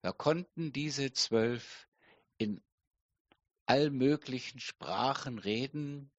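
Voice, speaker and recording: A middle-aged man preaching a sermon slowly and deliberately, with emphatic pauses. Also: mid-range voice, dry recording, highs cut off. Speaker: pastor.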